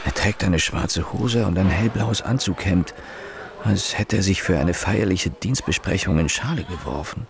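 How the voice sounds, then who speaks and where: An actor in a German radio drama playing a brooding, probing police chief inspector with a terse, controlled, formal manner. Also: male voice, deep voice, moderate pace, vocal fry. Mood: brooding, official.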